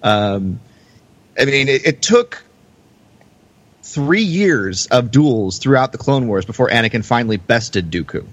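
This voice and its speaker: A man hosting a casual podcast, talking in a halting way with repeated words. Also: mid-range voice, expressive, muffled recording. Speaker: podcast host.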